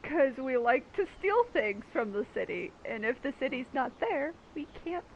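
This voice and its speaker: A woman's voice: sits high, expressive, moderate pace, lo-fi sound, slight background noise. A woman streamer giving casual, playful commentary on a video game, joking and acting out mock dialogue. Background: game music.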